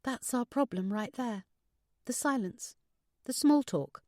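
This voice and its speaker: A woman's voice: a little high, expressive, slow, darkish tone, no room sound, clean studio sound, voice pushed up. A woman voicing a fragile, anxious married woman in an audiobook, hesitant, emotional and vulnerable. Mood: sad, confiding. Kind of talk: audiobook character dialogue.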